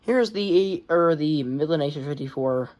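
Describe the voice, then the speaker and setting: A man's voice: fairly high pitch, moderate pace, darkish tone, lo-fi sound. A teenage boy casually showing his weather radio collection at home, rambling and often correcting himself. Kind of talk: casual show-and-tell.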